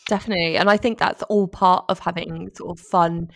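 A woman's voice: fairly low pitch, fairly even intonation, a little fast, gravelly, a bit bright, dry recording, clean studio sound. A woman co-hosting a podcast who reads out a guest's biography and chats with enthusiasm and effusive praise. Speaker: podcast co-host. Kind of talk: reading a guest bio, then casual chat.

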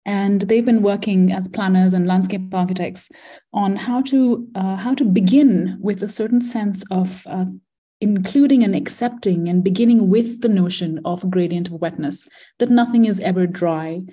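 A middle-aged woman who grew up in Malaysia, speaking as an interview guest, explaining her ideas thoughtfully, with many pauses, ums and self-corrections. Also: mid-range voice, warm tone. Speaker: environmental philosopher and design educator.

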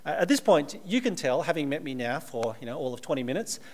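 Man, Australian accent, preacher, sermon, live audience, conversational, dry humor, somewhat high voice. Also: very animated, fast talker, darkish tone.

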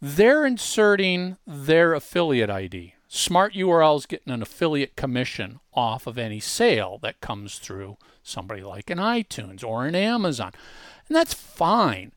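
A man co-hosting a podcast, explaining software features in a casual, conversational way with emphatic repetition. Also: fairly high pitch, big pitch swings, normal speed, no room sound, clean studio sound. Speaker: podcast co-host giving a software demonstration. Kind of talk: casual explanatory talk, walking through features.

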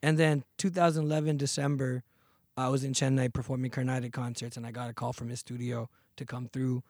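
A relaxed man in his thirties tells his story in loose, slangy chat, his voice sitting at an ordinary middle pitch. The sound is close and dry, with hardly any room around it. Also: fairly quick, no echo. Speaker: podcast guest, singer. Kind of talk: casual interview chat.

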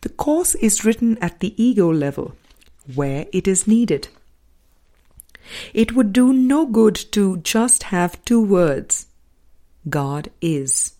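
A woman reading a spiritual book aloud as an audiobook narrator, in a measured, deliberate way with pauses between phrases. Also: on the low side, animated, warm tone. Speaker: audiobook reader.

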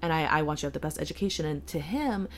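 A woman in her thirties with a low, gravelly voice talks casually through a family story. She uses lots of "like" and "you know" and restarts her sentences often. A faint room hum sits behind her. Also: expressive, racing. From United States. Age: 30s. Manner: chatty, many fillers and restarts, quotes relatives in asides. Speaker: guest. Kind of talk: casual conversation, personal storytelling.

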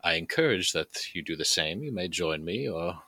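Man acting an animated, courteous gentleman. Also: low voice, normal speed, no echo, exaggerated voice. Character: courteous, genteel gentleman, eager to befriend.

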